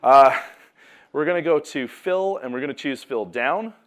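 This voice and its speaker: A man giving a lively, joking live software demonstration on a conference stage. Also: on the high side, normal speed. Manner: upbeat, joking, talks while clicking through steps. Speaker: presenter. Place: large conference hall stage. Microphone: lapel mic, cutting in and out at first. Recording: roomy distant hall sound, noisy.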